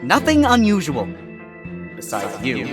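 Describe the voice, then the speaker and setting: A man's voice: medium pitch, wildly swooping pitch, measured pace, over loud music. A man voicing a sarcastic, wisecracking male fox defense attorney with a dry, exasperated delivery.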